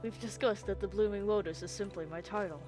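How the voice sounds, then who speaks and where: A woman's voice: medium pitch, brisk. A woman live streaming a video game, giving casual, playful commentary in short reactions. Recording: background music over the voice. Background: game audio and cafe-style background music.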